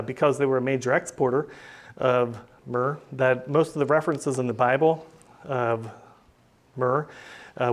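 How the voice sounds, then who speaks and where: A middle-aged man, preaching a teaching sermon at a podium in a small church and speaking plainly with many "uh" and "um" fillers. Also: mid-range voice. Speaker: pastor. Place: small church room, indoors. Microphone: podium microphone.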